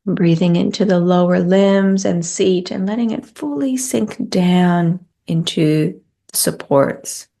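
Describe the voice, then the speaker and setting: A woman guides a meditation in a gentle, soothing way. Her voice sits a little low and is dark and relaxed, with noticeable creak. She takes her time with the phrases. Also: takes it slowly.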